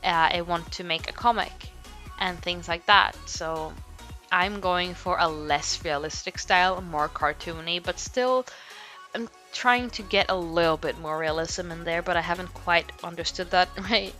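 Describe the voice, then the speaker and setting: Bright-voiced young woman, rambling. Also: on the low side, unhurried. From Sweden. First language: Swedish. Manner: rambling, frequent "like" fillers, self-laughing asides. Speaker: art vlogger. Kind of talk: casual talk to camera. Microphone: home microphone. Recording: music playing under the voice. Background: background music.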